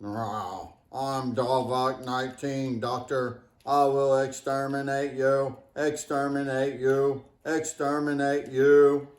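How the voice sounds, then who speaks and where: A man voicing a menacing, cheesy television sci-fi villain, chanting threats in a drawn-out, repetitive way. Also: medium pitch, flat delivery, voice pushed down, lightly acted. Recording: echoey room.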